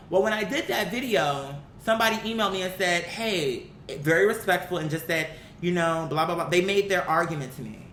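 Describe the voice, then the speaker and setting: An American woman giving opinionated, casual commentary to her viewers, speaking in a blunt, emphatic, slangy way and often throwing out rhetorical questions. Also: fairly bright tone. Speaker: vlogger, commentary host. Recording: roomy echo with faint rumbling noise.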